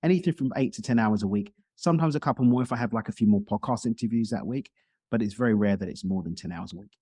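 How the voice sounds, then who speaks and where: A man's voice: on the low side, quick pace, mellow, breathy edge, no room sound. A man talking casually as a guest in a podcast interview, explaining things in talkative detail. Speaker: podcast guest, speaker and coach.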